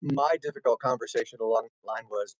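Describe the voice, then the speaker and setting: A man's voice: mid-range voice, fast talker, no echo, highs cut off. A man hosting an interview, asking questions in an effusive, complimentary way. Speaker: show host, interviewer.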